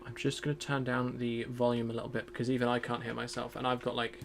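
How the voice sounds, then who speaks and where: A man streaming a game in casual commentary. He is chatty and self-deprecating, thinks aloud, and has a loud voice. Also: medium pitch, monotone, quick pace, creaky, slight background noise.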